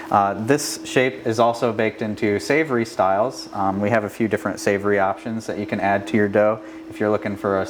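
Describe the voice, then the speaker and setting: A man working at a bakery, explaining the menu in a steady way with many ums and uhs. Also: on the low side, noisy background. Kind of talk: casual explanation.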